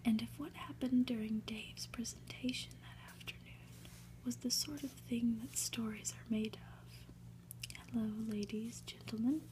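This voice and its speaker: A woman reading a story aloud for ASMR in a hushed, deliberate, breathy whisper. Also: a little high, fairly even intonation, rough recording. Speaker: ASMR reader.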